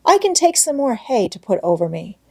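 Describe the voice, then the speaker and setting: An eager little girl from a storybook, acted out by a woman narrator. The voice lifts high and lilting, innocent and childlike, with cheerful, plain-spoken delight. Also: sing-song, fairly quick, vocal fry, mellow, breathy edge, heavily acted. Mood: busy, reassuring. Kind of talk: storybook read-aloud character voice.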